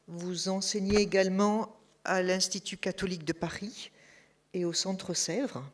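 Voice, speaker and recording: A woman's voice: lowish voice, fairly even intonation, measured pace. A woman hosting an evening lecture, formally introducing the guest speaker and reading his career from notes. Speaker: event host introducing a speaker. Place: lecture hall.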